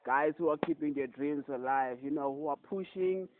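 Brief: young male host, slightly high voice, tinny phone-like line. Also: normal speed, noisy background. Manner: loose, hyped, repeats "yes, sir" and "my guy". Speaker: podcast host. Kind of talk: casual interview.

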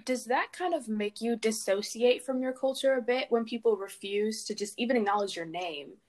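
A woman's voice: fairly high pitch, normal speed. Curious woman asking a thoughtful question. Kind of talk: casual discussion.

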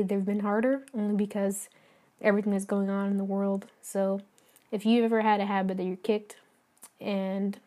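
A woman with a hoarse voice talks casually on her podcast and reads her own poem. Her pitch stays level and her tone is mellow and dark. She speaks softly, pausing often and restarting her sentences. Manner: soft, halting, with many fillers and restarts. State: hoarse from singing.

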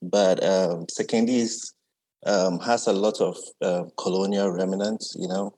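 A man's voice: low voice, fairly even intonation, measured pace, dark tone. A man, an artist chatting easily as a guest and often adding "yeah". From Ghana. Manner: easygoing, reflective, often adds "yeah". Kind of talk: casual conversation.

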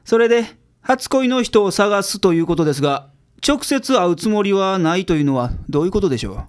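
Gruff Kansai detective, slightly high-voiced male actor. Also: lively intonation, a little fast. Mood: dry, sardonic. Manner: blunt, dry, grumbling. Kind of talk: audio drama acting.

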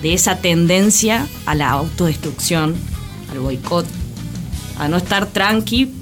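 A woman's voice: lowish voice, animated, measured pace. A woman with an Argentine accent speaking casually as a guest co-host on a radio show. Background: background music.

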